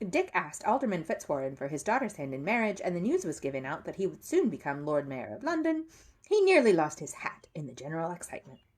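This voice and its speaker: A woman reading a children's book aloud as an expressive storyteller. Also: lowish voice.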